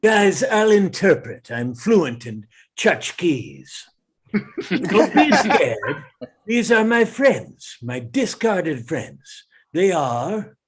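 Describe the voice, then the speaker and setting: A man's voice: high-pitched, sing-song, drawn-out words, slightly rough, exaggerated voice. A man playing an earnest talking animal who solemnly interprets and tells stories at a comedy table read. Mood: reassuring.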